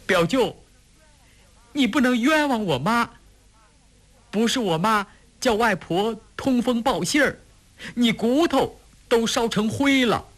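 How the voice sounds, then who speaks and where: A male narrator plays a stubborn teenage boy from a storybook. The voice jumps high and blurts its protests loudly, with swooping, indignant emphasis. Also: animated, takes it slowly, pauses often, heavily acted. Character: teenage country boy, indignant and defiant, defending his mother.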